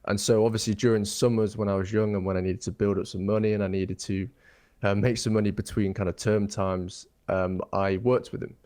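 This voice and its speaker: Young man, low voice, rambling. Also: flat delivery, a little fast, a little mellow. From England. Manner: thoughtful, rambling, many ums and restarts. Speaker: guest architect. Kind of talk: casual interview chat.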